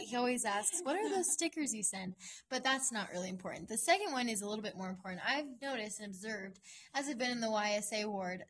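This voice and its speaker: Playful young woman, high voice. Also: a bit bright. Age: young adult. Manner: playful, jokey. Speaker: student. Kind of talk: casual chat. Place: classroom.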